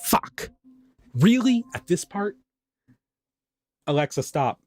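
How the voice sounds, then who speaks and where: A man's voice: sits high, sing-song, slow pace. A man, a voice actor, talking casually off script between takes, muttering, breaking off and swearing freely. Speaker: audio roleplay voice actor. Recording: clearly noisy background, passing vehicles.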